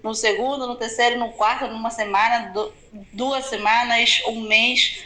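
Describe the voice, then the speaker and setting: A woman's voice: fairly high pitch, fairly even intonation, moderate pace, sharp tone. A woman from Venezuela with a Venezuelan accent, a native Spanish speaker, chatting casually and directly to her viewers as a video blogger. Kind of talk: casual chat to camera.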